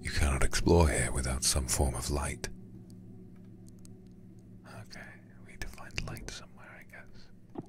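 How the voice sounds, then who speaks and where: A man reading a horror game aloud in ASMR style, hushed, breathy and deliberate, close to the microphone. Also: a bass voice. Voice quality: breathy, whispery. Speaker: livestreamer playing a horror game.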